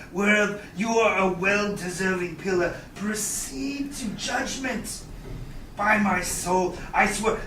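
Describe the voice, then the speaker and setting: A man's voice: sits high, sharp tone, heavily acted. A middle-aged man playing a vengeful, stubborn moneylender in a theatrical staged Shakespeare reading, speaking emphatically. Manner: deliberate, exclamatory, emphatic. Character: vengeful, stubborn male moneylender demanding his bond. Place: small theatre stage, indoors. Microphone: far audience camera. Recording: echoey stage, distant camera, faint noise.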